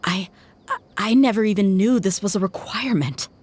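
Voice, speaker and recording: An actress voicing a tough young female mercenary in a video game, asking shocked questions over soft music. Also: medium pitch, normal speed, close to an everyday voice.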